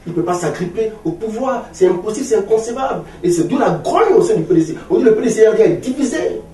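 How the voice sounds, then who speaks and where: Ivorian guy in his 40s doing a political interview, emphatic, repeats himself, mellow voice, bit far from the mic. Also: sits high, expressive, fast. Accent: Ivorian French. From Ivory Coast. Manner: emphatic, rhetorical, repeating phrases. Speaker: opposition political party leader being interviewed. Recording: roomy, distant mic with faint noise.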